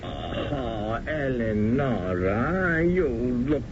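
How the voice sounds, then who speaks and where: An actor playing a fussing, theatrical stage director in a 1970s radio drama, doting and given to chuckles. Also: male voice, mid-range voice, lively intonation, drawn-out words. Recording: muffled old radio broadcast with hiss.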